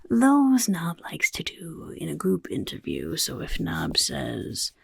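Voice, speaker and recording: A woman voicing a sly, self-important goblin overlord who interviews recruits in soft-spoken ASMR roleplay, whispering and talking about itself in the third person. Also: low voice, wildly swooping pitch, slow pace, dry recording, studio quality, full character voice. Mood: scheming. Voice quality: gravelly.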